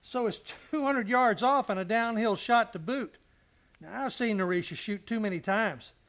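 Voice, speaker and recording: A man plays a loyal cowboy in a radio western, his voice pitched high for a man. He is plainspoken and earnest, with folksy frontier turns of phrase. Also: moderate pace, a bit bright. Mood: wry. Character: Clancy, loyal, plainspoken cowboy, devoted husband. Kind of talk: western radio drama acting.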